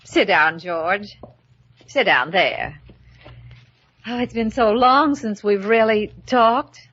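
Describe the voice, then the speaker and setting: An actress playing a charming, proud lady politician with a coaxing, expressive delivery in an old-time radio comedy. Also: medium pitch, slow, slightly rough. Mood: coaxing, flirtatious. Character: charming, flirtatious yet proud lady mayoral candidate. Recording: muffled vintage radio recording.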